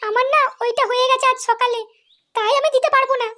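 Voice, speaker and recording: Squeaky, very high flirty cartoon woman. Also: steady tone, fast talker, sharp tone. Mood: coy, apologetic. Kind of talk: exaggerated comedy cartoon voice-over.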